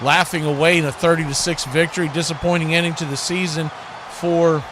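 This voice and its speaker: Higher-voiced man calling football over background noise. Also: flat delivery, brisk. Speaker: play-by-play host. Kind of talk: live football watch-party commentary.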